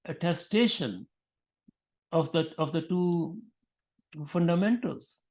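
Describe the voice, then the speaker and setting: A man with a fairly high-pitched voice talks about teaching children through a religious framework. He speaks off the cuff, breaking off mid-phrase and starting again, and he sprinkles Arabic honorifics through his sentences. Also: expressive, a little mellow. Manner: halting, with frequent restarts and Arabic honorifics. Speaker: Islamic education speaker. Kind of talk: unscripted talk on teaching.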